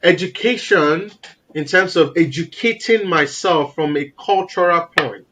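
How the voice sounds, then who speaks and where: A man from Nigeria with a naturally loud voice, talking as an interview guest in an animated, emphatic way. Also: on the high side, measured pace. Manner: animated, emphatic, repeats words for stress. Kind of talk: casual interview conversation.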